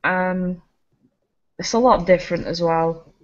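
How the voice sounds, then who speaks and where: A woman co-hosting a casual gaming podcast, chatty and quick to laugh. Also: on the low side. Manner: chatty, laughs often, restarts sentences. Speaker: podcast co-host. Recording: slightly muffled home recording.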